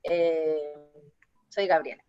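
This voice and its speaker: A woman's voice: low-pitched, fairly even intonation, very slow, mellow, smooth, dry recording. A woman speaking earnestly on a panel, with hesitant fillers. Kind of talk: casual panel talk. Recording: dry dull lo-fi audio.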